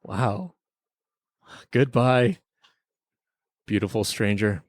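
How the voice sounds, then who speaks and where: A man's voice: fairly low pitch, extremely slow, no room sound, clean studio sound. A man hosting a podcast, casually reviewing a TV episode with joking, mock-serious remarks. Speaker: podcast host.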